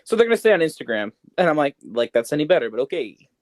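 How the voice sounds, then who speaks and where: A man's voice: on the high side, sing-song, quick pace, no echo, studio quality. A man co-hosting a podcast in casual, joking chat, with a playful, slangy way of talking. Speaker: podcast co-host.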